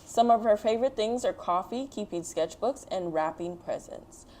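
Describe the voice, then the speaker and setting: A woman's voice: mid-range voice, animated, moderate pace, darkish tone, a little hiss or hum. Woman reading and talking to young children. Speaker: host of a children's read-aloud show. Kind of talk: picture book read-aloud and talk to children.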